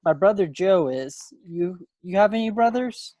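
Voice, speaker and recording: A man's voice: sits high, animated, a little slow, mellow, dry recording. A man playing a playful, folksy young small-town suitor from the 1940s in a play reading over a video call. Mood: chatty, earnest. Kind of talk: stage play table read. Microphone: computer microphone on a video call.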